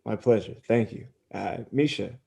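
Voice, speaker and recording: A man's voice: lowish voice, moderate pace, vocal fry, mellow. A man hosting an interview, handing over between guests with short questions and thanks. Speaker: host, interviewer.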